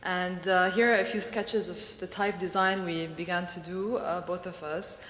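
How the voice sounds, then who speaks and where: A woman's voice: lowish voice, steady tone, moderate pace, a bit bright. Woman from beirut giving a conference talk, lots of ums, echoey hall, faint room noise. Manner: thoughtful, hesitant with many fillers. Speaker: design studio co-founder. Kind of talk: conference presentation.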